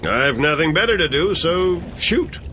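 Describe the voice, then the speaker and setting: A man's voice: on the high side, animated, measured pace, pushed and bright, slight background noise. An actor playing a sardonic middle-aged exile who laughs at his own jokes and loves whiskey.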